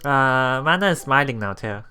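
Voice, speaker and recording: A let's play host casually commenting on a visual novel and reading its lines aloud in a halting, chuckling way. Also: medium pitch, lively intonation, pushed and bright. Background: visual novel background music.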